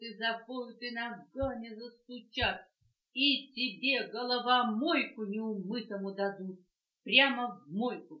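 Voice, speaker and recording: A woman voicing a stern, grand male washbasin commander who scolds loudly, on an echoey, dull cassette tape. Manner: scolding, booming declamation.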